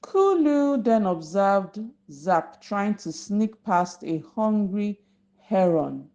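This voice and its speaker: Slow, mellow woman storyteller, slightly dull recording. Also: mid-range voice, sing-song. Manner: deliberate, instructive, addressing children. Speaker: children's storyteller. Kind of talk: narrating a folktale for young children.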